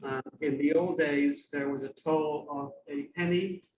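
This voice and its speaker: Higher-voiced Irish man in a roomy recording. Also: fairly even intonation, measured pace. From Ireland, grew up in Dublin. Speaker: guest lecturer. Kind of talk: informal lecture. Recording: roomy, distant mic with faint noise.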